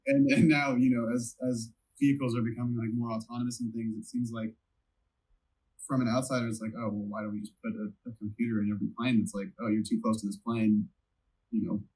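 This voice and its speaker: A man with a husky, slightly rough voice at an average pitch acts as interviewer. He puts his questions tentatively, in loose, informal conversation. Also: little pitch movement, fast, darkish tone, poor audio. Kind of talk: casual interview chat.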